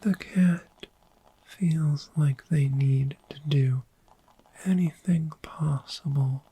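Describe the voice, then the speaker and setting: A gentle, friendly dragon acted by a woman speaks in a breathy, dark and velvety whisper for a sleepy ASMR roleplay. The delivery is extremely slow and soothing, lingering softly on repeated words. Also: sits low, exaggerated voice, poor audio. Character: friendly, gentle, sleep-loving dragon hoarding cozy bedding. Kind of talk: soft-spoken ASMR roleplay.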